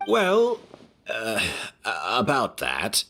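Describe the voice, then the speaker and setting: A man's voice: fairly low pitch, huge pitch swings, extremely slow, gravelly, bright, forceful tone, faint music behind, exaggerated voice. A man playing a considerate, apologetic hotel host in a video game, speaking politely and a little hesitantly. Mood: hesitant, hospitable. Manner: hesitant, polite, self-effacing. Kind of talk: scripted video game dialogue.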